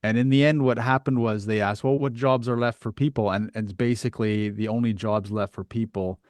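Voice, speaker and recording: A man's voice: fairly low pitch, steady tone, fairly quick, a little mellow, no echo. A man hosting a casual interview, thinking aloud and phrasing his questions tentatively. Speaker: podcast host, interviewer.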